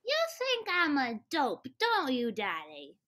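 Bratty little girl, high and swooping, wheedling, for radio comedy. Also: wildly swooping pitch, takes it slowly, pushed and bright, heavily acted. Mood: mischievous, innocent. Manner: childish, wheedling. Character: mischievous, bratty little girl pestering her daddy. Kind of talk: old-time radio comedy acting.

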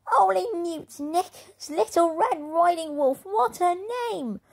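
A woman's voice: extremely high pitch, takes it slowly, creaky, darkish tone, pitched above the performer's own voice, full character voice. A woman voicing a rude, mean little girl bully in a storybook read-aloud, brash, mocking and crude. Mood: mocking, loud.

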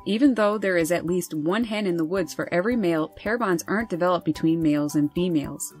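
A woman host reading scripted nature narration over wildlife footage in a measured, informative way. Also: fairly low pitch, darkish tone, soft background music. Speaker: birding channel host.

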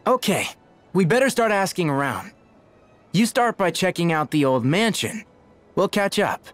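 A man playing a confident, brash but friendly teenage boy who leads his friends, in an animated video game. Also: sits high, normal speed, soft background music. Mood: decisive.

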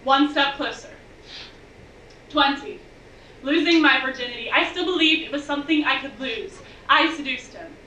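A young woman performing spoken-word poetry on a bar stage, with measured, intense, rhythmic delivery. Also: sits high, bright, forceful tone, a little hiss or hum. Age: young adult. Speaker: slam poet. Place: bar stage venue, indoors. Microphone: stage mic on stand, filmed from afar. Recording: distant, dull, echoey room recording.

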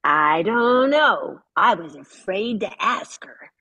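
A woman's voice: mid-range voice, expressive, takes it slowly, pushed and bright, husky, muffled recording, exaggerated voice. A woman reading the part of a blunt, gossipy grandmother at a funeral, over a video call. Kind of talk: zoom radio-play reading.

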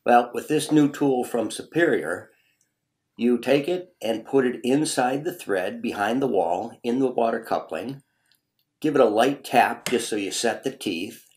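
A man's voice: medium pitch, a little slow, darkish tone. A man presenting a product in scripted how-to demonstration narration.

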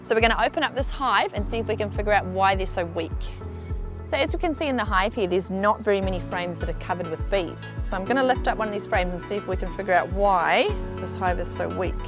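A young woman giving a clear, explanatory beekeeping demonstration outdoors beside hives on a lapel mic. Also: mid-range voice, fast talker, over music. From New Zealand. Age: young adult. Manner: clear explanatory, pointing things out. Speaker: beekeeping researcher. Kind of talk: instructional demonstration.

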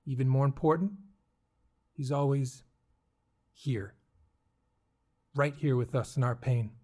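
A man's voice: mid-range voice, fairly even intonation, takes it slowly, warm tone. An actor playing a friendly, reassuring evangelical pastor in his fifties in an audio drama. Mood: gentle, consoling. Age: 50s. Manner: reassuring, familiar. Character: friendly, encouraging middle-aged evangelical pastor.

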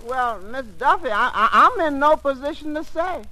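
Very high-pitched, droll waiter. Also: male voice, animated, moderate pace, bright, forceful tone, heavily acted. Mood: wry. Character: droll, deferential tavern waiter. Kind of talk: 1940s radio comedy acting. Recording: noisy old-time radio broadcast recording.

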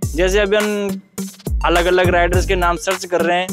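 A man's voice: high-pitched, little pitch movement, normal speed. A young man with a Hadoti Rajasthani accent, speaking earnestly in casual chat and slipping into local dialect. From Hadoti region, Rajasthan. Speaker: follower guest. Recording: loud music playing under the voice. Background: hip hop music.

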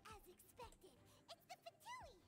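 A woman's voice: very high-pitched, huge pitch swings, a little slow, bright, forceful tone, faint music behind, heavily acted. A woman voicing a tiny, chirpy, childlike female fairy companion in a video game. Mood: nervous. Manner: chirpy, childlike, speaks of self in third person.

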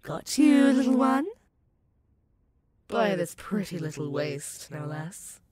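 Sadistic alpha werewolf demoness , woman performer, roleplay audio. Unhurried, possessive, teasing menace, middle-pitched. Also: sing-song, background noise. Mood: gloating. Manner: slow, teasing, purring menace with mocking endearments. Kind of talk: scripted roleplay audio addressed to the listener.

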